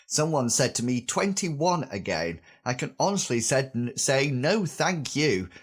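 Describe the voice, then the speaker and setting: Husky guy in his 50s hosting a podcast, pitch bounces around a lot. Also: sing-song, unhurried. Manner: chatty, self-deprecating asides. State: recovering from a sore throat. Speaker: podcast host. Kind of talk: scripted solo podcast monologue.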